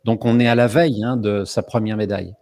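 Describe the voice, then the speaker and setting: A man's voice: on the low side, fairly even intonation, quick pace, warm tone. A middle-aged man hosting a live online summit over a video call, telling stories in a chatty way full of hesitations. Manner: chatty, many fillers, storytelling. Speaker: host.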